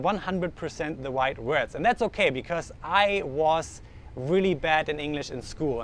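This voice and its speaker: A young man with a German accent speaks in a slightly high voice, earnest and casual, often restarting his sentences as he searches for words. He vlogs outdoors on a sunny street, picked up by the camera mic, with faint traffic and city noise behind him. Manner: earnest, thinks aloud with frequent restarts. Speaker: vlogger. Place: outdoors in the sun, urban street.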